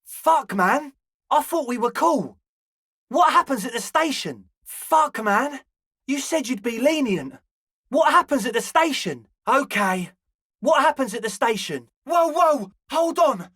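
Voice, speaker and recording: A man's voice: extremely high pitch, lively intonation, a bit bright, no room sound, clean studio sound, exaggerated voice. A male actor voicing a young, slangy club hustler in a video game, conciliatory and laid-back with a pleading manner. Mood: dismayed, protesting. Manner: slangy, placating, drawn-out pleading. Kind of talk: video game character dialogue.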